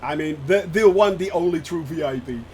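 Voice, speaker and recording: A man from the Netherlands with a Dutch accent, chatting casually and excitedly as a streamer while he flies a simulator, laughing often. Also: on the high side, fairly quick.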